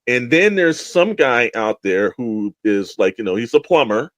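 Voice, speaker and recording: A man's voice: mid-range voice, expressive, a little slow, dry recording. A man co-hosting a podcast in casual discussion, rambling and opinionated, with an emphatic way of talking. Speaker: podcast co-host.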